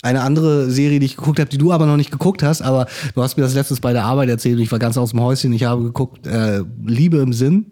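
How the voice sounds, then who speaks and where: Mellow-voiced man, hedging casual chat. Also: mid-range voice, fast talker, hardly any pauses. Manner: hesitant, hedging with "irgendwie" and "glaub ich". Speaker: podcast co-host. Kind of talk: casual chat about reality TV.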